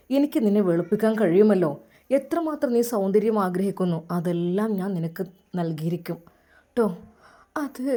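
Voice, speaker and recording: Woman doing a soft-spoken young husband, lowered voice, tender, reassuring, storybook. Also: natural voice. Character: gentle young male doctor, loving husband.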